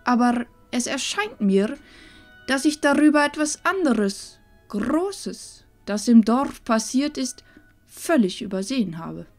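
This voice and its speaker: A woman acts out an old village grandmother in a fantasy audio drama, calm and knowing. Her voice sits fairly high and moves with lively melody, taking its time, with faint music underneath. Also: exaggerated voice. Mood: calm, probing. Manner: measured, knowing.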